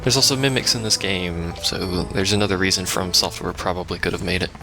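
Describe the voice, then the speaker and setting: Low-pitched gravelly man commentating a game. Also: steady tone, brisk. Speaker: let's play host. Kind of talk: casual live game commentary. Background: video game music.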